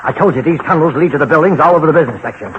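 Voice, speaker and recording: An actor playing an impatient, jumpy husband in 1940s radio horror, brusque, excitable and overwrought. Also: male voice, on the high side, racing, close to an everyday voice. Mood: brusque, dismissive. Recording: muffled, hissy 1940s radio recording.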